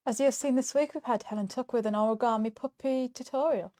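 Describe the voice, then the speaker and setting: A woman's voice: fairly high pitch, fast, mellow. A woman co-hosting a casual show in a chatty way, hesitant and often stumbling over repeated words. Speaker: show co-host.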